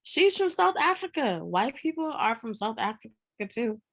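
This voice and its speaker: A woman's voice: a little high, big pitch swings, normal speed, fairly bright tone, heavily acted. A woman playing a busy grown daughter in a screenplay read, casual and naturalistic, teasing and defensive. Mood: playful. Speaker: actor in a script read. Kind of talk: live screenplay table read.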